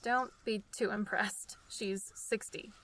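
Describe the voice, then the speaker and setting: A woman plays a practical young sidekick in a radio drama. Her mid-pitched voice is matter-of-fact and dry, with a slightly prim edge. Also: fairly even intonation, slow, a bit bright, a little hiss or hum. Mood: dry, deadpan.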